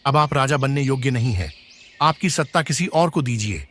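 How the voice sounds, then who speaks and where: A man's voice: mid-range voice, lively intonation, normal speed, fairly bright tone. A man voicing a tiger who speaks for the jungle animals in a cartoon fable, deferential yet firm. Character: tiger subject, doubtful spokesman of jungle animals. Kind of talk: Hindi animated moral story dialogue.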